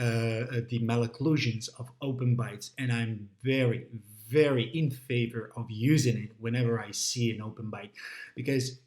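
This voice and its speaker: A man from Croatia with a Croatian accent, giving a recorded lecture to dentists with many fillers and restarts. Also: mid-range voice. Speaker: orthodontist lecturer.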